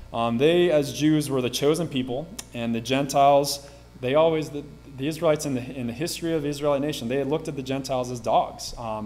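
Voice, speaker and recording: A man's voice: mid-range voice, darkish tone. A man in his thirties, a pastor, reading scripture and then preaching a sermon in a small church sanctuary, talking steadily with frequent ums and restarts.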